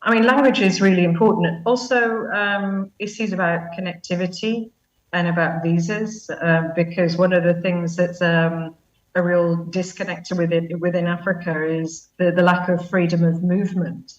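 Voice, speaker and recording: A woman speaking as a guest commentator on a news panel, measured and thoughtful with many fillers. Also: on the low side, fairly even intonation. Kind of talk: panel interview answer. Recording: dull, echoey sound from a distant mic.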